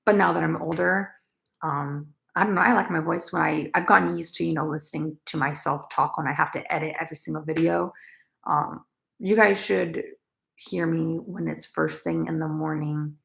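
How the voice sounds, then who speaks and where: A young woman with a naturally raspy voice, chatting casually with viewers on a crochet livestream and rambling with lots of fillers. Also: low-pitched, fairly even intonation, normal speed, a bit bright. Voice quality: raspy, gravelly. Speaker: crochet vlogger. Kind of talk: casual livestream chat, reading comments.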